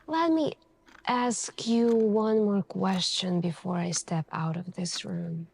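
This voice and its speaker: A woman doing an ASMR roleplay as a suspicious female detective, questioning in a deliberate, pointed way with many pauses. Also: medium pitch, vocal fry, a little mellow, slightly rough. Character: calm, suspicious, probing female police detective. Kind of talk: ASMR interrogation roleplay, slow deliberate delivery.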